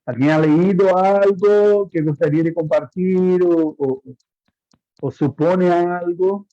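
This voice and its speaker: A man teaching a Bible course in Spanish as his second language, halting and repeating words as he lectures and asks his students questions. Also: high-pitched, steady tone, no room sound. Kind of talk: online class lecture. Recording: muffled lo-fi audio.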